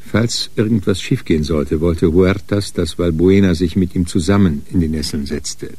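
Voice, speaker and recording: Deep, mellow crime narrator. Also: male voice, moderate pace, husky. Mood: composed. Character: composed male storyteller of a detective tale. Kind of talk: scripted crime drama narration.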